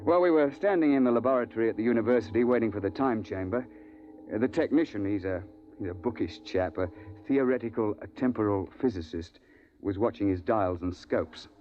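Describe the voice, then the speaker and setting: An actor playing a seasoned big-game hunting guide who also narrates, in a 1950s radio drama, clipped and dryly ironic. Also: male voice, on the low side, a little fast, darkish tone, lightly acted. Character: seasoned male time-travel big-game hunting guide, dry and unflappable. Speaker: narrator and lead character. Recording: dull old radio recording with music.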